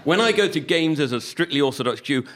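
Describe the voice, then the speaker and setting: A man with a Liverpool accent performing a stand-up comedy monologue with a wry, deadpan delivery. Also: fairly high pitch, quick pace. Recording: live recording with audience noise.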